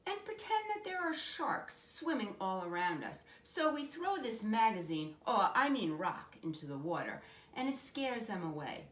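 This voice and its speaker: A woman voicing an eager, imaginative little boy playing pretend in a storybook, excitable, bossy and dramatic. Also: mid-range voice, moderate pace, hardly any pauses, voice pushed up. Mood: eager, directing. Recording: echoey room.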